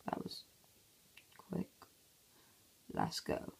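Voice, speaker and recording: A man with a hoarse, croaky voice, half-whispering casual commentary over a mobile game at home early in the morning, recorded on a phone. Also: drawn-out words, noisy background. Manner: half-whispering to avoid waking sleepers. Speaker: gameplay commentator.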